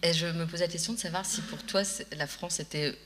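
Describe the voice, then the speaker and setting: Woman moderator, low flat voice, bright tone, echoey hall with faint noise. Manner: quick, polite interjections. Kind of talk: panel moderation. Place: hall at a literary festival.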